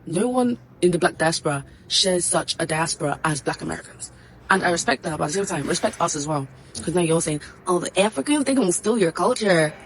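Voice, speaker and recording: A woman's voice: on the low side, animated, a little fast, slight background noise, poor audio. A woman ranting to camera in a blunt, exasperated, scolding way. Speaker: social media commentator. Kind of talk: ranting direct-to-camera video.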